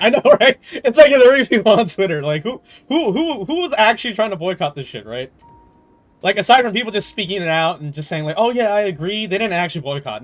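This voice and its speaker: A man chatting casually over gameplay as a livestreamer, rambling and opinionated, with slangy, amused, incredulous talk and some swearing. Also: on the high side. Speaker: livestreamer playing a video game.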